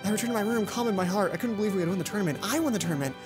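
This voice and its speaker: A woman with a medium-pitched voice reads a visual novel aloud as a let's play host. She is dramatic, corrects herself as she goes and slips into casual commentary. Music plays clearly behind her. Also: lively intonation, very fast.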